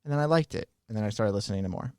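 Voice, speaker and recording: A man hosting a podcast in a studio, chatting casually in a relaxed, rambling way and laughing easily. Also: lowish voice, very fast, no room sound. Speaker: podcast host. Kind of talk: casual chat.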